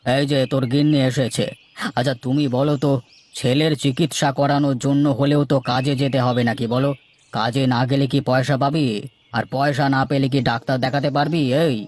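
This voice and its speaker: A man's voice: medium pitch, level tone, brisk, a little mellow, lightly acted. A man playing a blunt but kindly older village boss in a cartoon, practical and admonishing. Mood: scolding. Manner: admonishing, practical, nagging rhetorical questions. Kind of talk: cartoon story dialogue.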